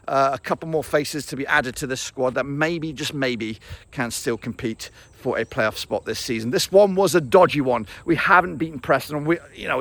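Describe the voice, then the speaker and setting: Excitable gravelly-voiced male football fan. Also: on the high side, no echo. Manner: excitable, rambling with fillers and restarts. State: losing his voice. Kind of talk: casual match reaction to camera.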